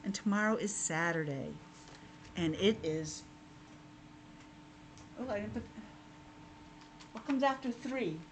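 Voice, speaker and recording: A woman's voice: medium pitch, lively intonation, slow pace, long pauses. A woman teacher reading a picture book aloud to young children, breaking off for simple conversational asides. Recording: clearly noisy background.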